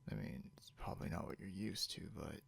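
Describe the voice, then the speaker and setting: A man playing a possessive, grudgingly caring non-human captor in an intimate ASMR roleplay, gravelly and often slipping into a whisper, muttering haltingly and condescendingly, with noise behind him. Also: sits low, expressive, a bit bright, full character voice. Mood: hesitant, defensive. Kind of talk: ASMR roleplay, intimate second-person acting. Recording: noticeable background hiss or hum.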